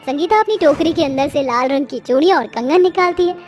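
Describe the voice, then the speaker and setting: Very high-voiced woman storyteller over music. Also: fast, a little mellow. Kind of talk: scripted children's moral-story narration.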